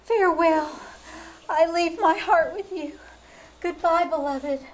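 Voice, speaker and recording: A woman acting a lovesick, sorrowful young mermaid in old-time radio drama style, pleading with dramatic pauses. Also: very high voice. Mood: longing, parting. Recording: noisy live theater stage recording.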